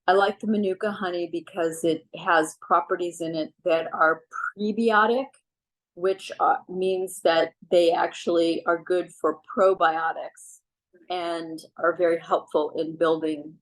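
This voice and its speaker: Chatty middle-aged woman, lowish voice. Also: a little slow, poor audio. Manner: relaxed, chatty, frequent ums. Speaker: wellness speaker. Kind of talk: casual advice talk on a video call. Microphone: video call.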